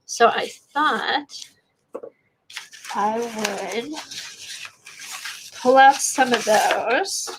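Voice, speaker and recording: A woman's voice: fairly high pitch, drawn-out words, long pauses, background noise. A woman with a congested, stuffy voice from a sinus infection, demonstrating a craft technique and thinking aloud as she works. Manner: rambling, thinking aloud while working. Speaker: art livestream host. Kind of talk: casual art demonstration.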